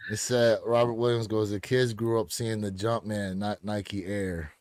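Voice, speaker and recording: A man's voice: on the low side, flat delivery, unhurried, a little mellow, no room sound. An American man hosting a casual livestream chat in a conversational, slangy way. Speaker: podcast host.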